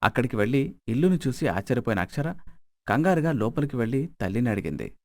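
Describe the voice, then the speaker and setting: A man's voice: mid-range voice, sing-song, fast, no room sound, clean studio sound. A man narrating a scripted moral story as its storyteller.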